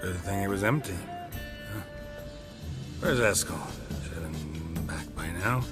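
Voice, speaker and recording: An actor playing a weary, dry-witted monster hunter in a video game, laconic and deadpan. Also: male voice, deep voice, a little slow, music in the background. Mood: dry, teasing.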